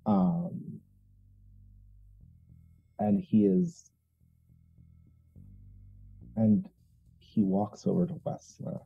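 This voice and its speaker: A thin, band-limited recording carries a man's gravelly, husky voice. He narrates his character's actions in a roleplaying game slowly, with deliberate dramatic pauses. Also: fairly low pitch, very animated, lo-fi sound, soft background music. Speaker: player in a tabletop roleplaying game.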